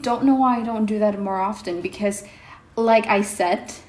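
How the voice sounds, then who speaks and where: A young woman vlogger whose first language is Indonesian, talking casually to her viewers with many pauses and ums. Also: medium pitch, a little mellow. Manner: hesitant, many ums, reflective. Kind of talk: casual vlog talk to camera. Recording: echoey room with faint music.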